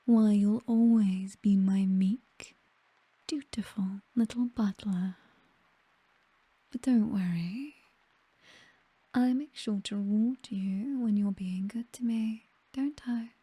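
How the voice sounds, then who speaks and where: A woman with a British accent playing a possessive, teasing vampire mistress in an intimate ASMR roleplay, slow and breathy, mostly whispered, softly commanding and seductive, close on the microphone. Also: medium pitch, no room sound. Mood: commanding, purring. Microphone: close microphone, dry.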